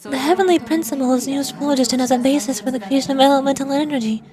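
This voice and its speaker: A woman's voice: sits high, fast talker, crowd noise, natural voice. A woman voicing a solemn, all-knowing ancient ruler from a fantasy game, reading formal explanatory dialogue aloud.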